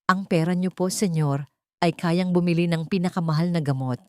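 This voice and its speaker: A woman voicing a sick, exhausted poor woman, deferential and halting yet dignified, in an audiobook drama. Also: fairly low pitch, steady tone, smooth, dry recording, studio quality, natural voice. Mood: weary hurt. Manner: weak, weary, deferential, halting.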